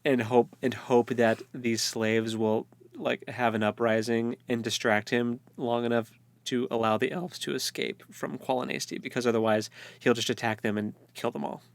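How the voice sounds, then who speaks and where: A man's voice: mid-range voice, steady tone, normal speed, vocal fry. A man co-hosting a casual book discussion, recapping the story informally with hesitant, joking asides. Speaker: co-host of a book read-along show. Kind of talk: casual chat, recapping a fantasy novel.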